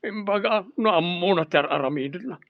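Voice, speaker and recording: A man's voice: sits high, expressive, brisk, no echo, lo-fi sound. An actor playing an easygoing, teasing Filipino family man in an Ilocano radio drama.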